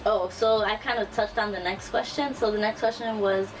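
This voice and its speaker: A young woman talking casually to her channel in a tag video, relaxed and chatty with frequent ums and restarts. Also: medium pitch, normal speed, noisy background. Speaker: YouTuber. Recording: distant camera mic, faint music.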